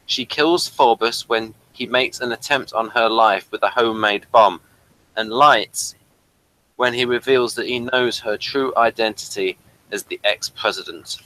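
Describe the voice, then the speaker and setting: A man's voice: mid-range voice, bright, forceful tone, poor audio. A man hosting a casual review chat, rambling with self-conscious little asides. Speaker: podcast host reading an episode summary and discussing it.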